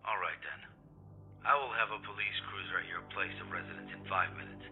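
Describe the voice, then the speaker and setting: A man's voice: low voice, fast. A man playing a curt, formal police dispatcher in an audio drama, heard through a telephone filter. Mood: brisk, official. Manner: clipped, formal. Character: curt, official male police dispatcher on the phone. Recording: muffled phone line under loud music.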